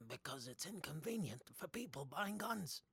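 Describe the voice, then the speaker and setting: A woman playing a deadpan schoolteacher who whispers in a hushed voice in an audio play. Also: low-pitched, normal speed, gravelly, heavily acted.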